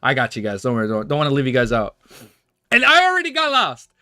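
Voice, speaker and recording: A man's voice: medium pitch, huge pitch swings, fast, sharp tone, smooth, dry recording, studio quality. A young man in his twenties hosting a livestream, chatting casually and reading a novel aloud, rambling and swearing with easy laughs. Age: 20s. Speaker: livestream host.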